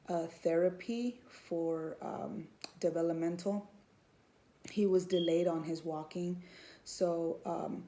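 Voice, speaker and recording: A woman's voice: lowish voice, warm tone. Earnest woman sharing her testimony. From Houston, Texas. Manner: earnest, hesitant with many ums, faith-filled. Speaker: student and mother. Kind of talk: personal testimony to camera.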